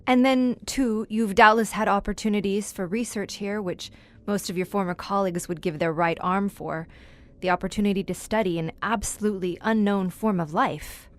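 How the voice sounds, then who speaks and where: A woman's voice: medium pitch, steady tone, normal speed, natural voice. An actress playing an inquisitive, educated woman in an audio horror drama, articulate and formal as she probes for answers. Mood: curious. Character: inquisitive, educated woman questioning a veteran monster hunter. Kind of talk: theatrical audio drama acting.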